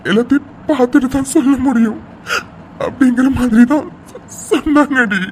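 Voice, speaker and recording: A woman playing an anxious young husband in an emotional audio drama, pleading tenderly and haltingly as he reassures his wife. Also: high voice, darkish tone, lightly acted. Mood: subdued, worried. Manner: pleading, halting, repeats words, tearful. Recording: faint background noise.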